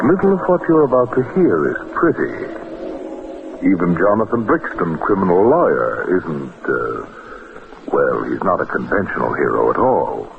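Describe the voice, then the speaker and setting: A man narrating a scripted radio drama in a wry, measured manner. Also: low voice, gravelly, exaggerated voice. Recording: muffled, noisy old radio recording.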